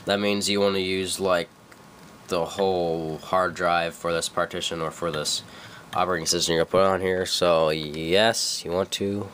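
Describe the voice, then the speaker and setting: Young guy with a cold, stuffy nose, real low voice, rambling tutorial, bit of room hiss. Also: flat delivery, normal speed, a bit bright. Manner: rambling, self-correcting, full of fillers. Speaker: tutorial maker. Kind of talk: casual screen-recorded tutorial. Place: dim room at a computer.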